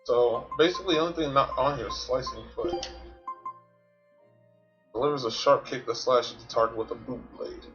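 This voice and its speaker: Man, video game streamer, medium pitch, casual commentary, thinking aloud, light background music. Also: moderate pace, pauses often, a bit bright, dull audio. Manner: thinks aloud, explains to himself. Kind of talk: casual gameplay commentary, reading tutorials aloud.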